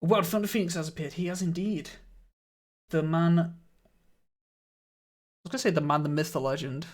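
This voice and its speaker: A man streaming a game live, chatting casually with viewers and laughing often, his voice a little croaky. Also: on the high side, steady tone, moderate pace. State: slightly croaky voice. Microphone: studio microphone.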